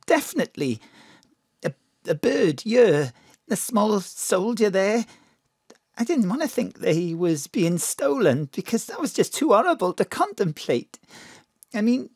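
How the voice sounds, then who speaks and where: An actress playing a distraught bereaved mother in an audio drama, halting, stammering and pleading. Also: mid-range voice, a little mellow, slightly rough, no room sound, studio quality, full character voice.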